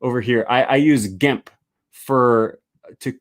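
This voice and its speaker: A man's voice: medium pitch, slow pace. A man whose native tongue is English, a language teacher casually explaining his lessons on screen, rambling and correcting himself. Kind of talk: screen-share walkthrough.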